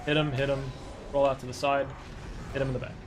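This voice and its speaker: A man's voice: medium pitch, level tone, fast. A man explaining a gameplay speedrun tutorial in a casual, rambling way, his voice tired. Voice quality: chatty, rambling, self-correcting. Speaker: speedrunner streamer.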